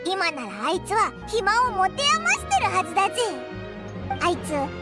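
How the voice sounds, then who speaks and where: A woman voicing a small, childlike, cheeky fairy companion with a squeaky voice and brash boyish wording in a Japanese anime game. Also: sing-song, moderate pace. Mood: eager. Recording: music behind the voice.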